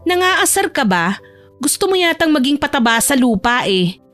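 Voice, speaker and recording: Lady doing a sassy sharp-tongued rich girl in a radio romance, pitched high, snappy and sarcastic, music behind. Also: big pitch swings, moderate pace. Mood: indignant. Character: feisty, sharp-tongued wealthy young woman, easily annoyed. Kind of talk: Tagalog romance audio drama acting.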